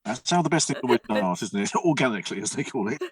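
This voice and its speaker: A man's voice: fairly low pitch, animated, fast, far from the mic. A male podcast host who encourages the guests and keeps agreeing with them. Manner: encouraging, many short agreements. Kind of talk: casual podcast chat.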